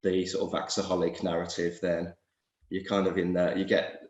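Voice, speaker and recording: A man with a hoarse voice from a cold, talking quickly and animatedly as a doctor interviewed on a show. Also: sits low, monotone, reverberant, lo-fi sound. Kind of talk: video call interview chat.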